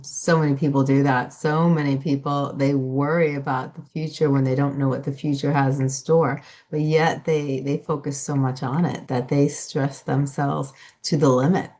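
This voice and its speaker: A woman's voice: extremely low pitch, level tone, moderate pace, few pauses, dark tone. A woman hosting an interview show, affirming her guest and building on his points before asking her next question. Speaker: podcast host. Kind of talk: interview conversation.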